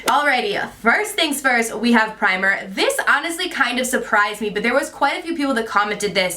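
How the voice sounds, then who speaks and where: A woman's voice: on the high side, bright, forceful tone. A young woman in her twenties chatting through a makeup review vlog at home, with a slightly stuffy nose and lots of "like" and "you guys". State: stuffy nose from a sinus infection. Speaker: beauty vlogger.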